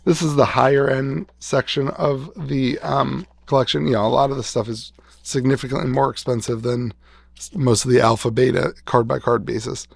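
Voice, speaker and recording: A man's voice: medium pitch, fairly even intonation, normal speed, vocal fry, slightly rough, dry recording. A man who is losing his voice after being sick, chatting casually and rambling a little as he shows off a collection of cards in a vlog. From West Virginia. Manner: rambling, restarts, enthusing over old cards. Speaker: card shop owner showing a collection. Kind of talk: casual show-and-tell vlog.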